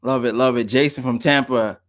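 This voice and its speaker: A man's voice: mid-range voice, fairly quick. Playful man co-hosting a live stream. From Queens, New York. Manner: relaxed, playful, reading out names with enthusiasm. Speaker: co-host. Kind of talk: casual chat on a live stream.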